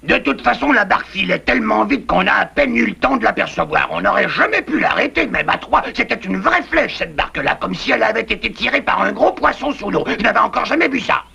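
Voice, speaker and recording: A man's voice: high-pitched, lively intonation, brisk, gravelly, few pauses, pushed and bright, husky, exaggerated voice. A man playing a cocky, selfish forest animal in a dubbed cartoon, boastful and smug. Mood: boastful, defensive. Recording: muffled old VHS cartoon with music.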